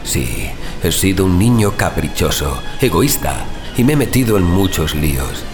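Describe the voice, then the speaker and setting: An actor with a Spanish accent from Spain playing a reckless, secretive younger brother in an audio drama, urgent and pleading. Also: male voice, low-pitched, very animated, moderate pace. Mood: resolute, confessional. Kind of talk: audio drama acting with inner monologue. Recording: voice over loud background music.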